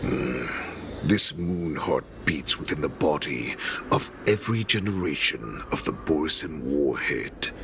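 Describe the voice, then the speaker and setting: An actor playing an ancient, sly, captive wolfish warlord in a game, speaking with slow theatrical menace and breathy drops toward a whisper. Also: male voice, a bass voice, exaggerated voice. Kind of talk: dramatic game cutscene monologue.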